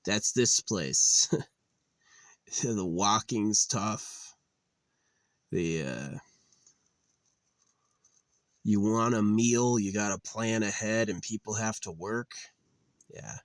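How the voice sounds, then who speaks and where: A man's voice: lowish voice, drawn-out words, pauses often, no room sound. A man in his late thirties musing in a rambling, weary monologue, with lots of fillers and restarts. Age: 30s. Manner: weary, resigned. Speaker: channel host.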